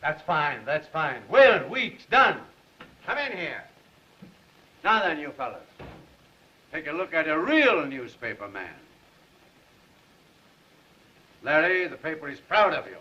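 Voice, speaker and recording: A man acting a gruff, bossy newspaper editor, brusque and commanding, in a 1930s film. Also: on the high side, sing-song, measured pace, pushed and bright, heavily acted. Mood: brisk, official. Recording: noisy dull 1930s film soundtrack.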